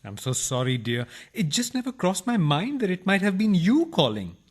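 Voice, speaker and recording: A man's voice: high-pitched, wildly swooping pitch, normal speed, breathy edge, full character voice. A man acting a harried, exasperated burglar and henpecked husband in a farcical radio play, pleading melodramatically. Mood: startled, anxious.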